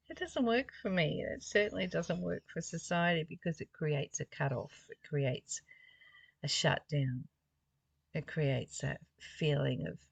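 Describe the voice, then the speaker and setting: A woman's voice: medium pitch, gravelly, dry recording. A woman reading spiritual text aloud and musing on it informally, in a reflective way with rhetorical questions. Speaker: spiritual study reader and commentator.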